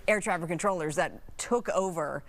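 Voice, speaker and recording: A woman's voice: fairly low pitch, moderate pace. An American woman anchoring a live TV news interview, asking questions in a conversational way.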